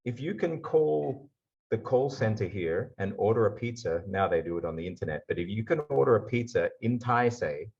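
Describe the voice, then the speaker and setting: A man with an Australian accent giving a lively conference talk over a video call. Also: on the low side, moderate pace, husky, dull audio. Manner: lively explainer, slipping into put-on accents for examples. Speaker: guest speaker.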